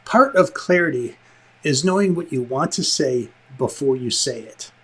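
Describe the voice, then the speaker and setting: A man with a slightly husky voice presenting a lesson as host, conversational and emphatic, with joking asides. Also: medium pitch, lively intonation, normal speed, a bit bright. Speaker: instructor and show host, film director.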